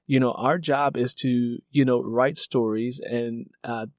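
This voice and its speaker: A man with a mid-range voice hosts a conversational author interview. He rambles, circling back through his points like an animated storyteller. The sound is dry, with no room around it. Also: medium pitch, a little slow, no room sound. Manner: animated storyteller who acts out remembered exchanges; rambling, repeats "you know". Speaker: interviewer and novelist.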